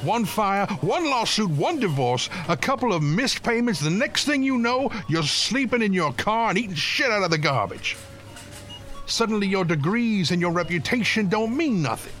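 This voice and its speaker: A man's voice: sits high, sing-song, normal speed, fairly bright tone, faint music behind. An actor playing a proud, indignant homeless man in an audio drama, blunt and colloquial. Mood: bitter, impassioned. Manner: blunt, emphatic, colloquial, swearing. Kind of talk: full-cast audio drama acting.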